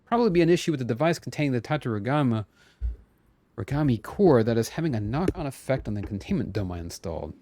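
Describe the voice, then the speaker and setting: A man voicing a fussy, long-winded engineer from a video game, officious and wordy with hesitant pauses. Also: medium pitch, big pitch swings, dark tone, dry recording, lightly acted. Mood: officious, earnest. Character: fussy, long-winded, bureaucratic engineer reading out repair plans.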